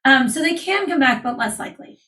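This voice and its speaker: A woman surgeon, calmly explaining voice care as an interview guest. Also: high-pitched, quick pace. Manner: clear, explanatory, calm. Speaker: laryngologist guest. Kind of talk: interview, explaining medical topics.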